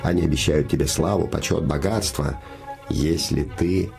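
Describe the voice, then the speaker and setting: A man's voice: rumbling low, normal speed, creaky. An actor playing a man's scheming living shadow that poses as a devoted friend, insinuating, solemn and emphatic, in a theatrical fairy-tale play. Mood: confiding. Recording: music behind the voice.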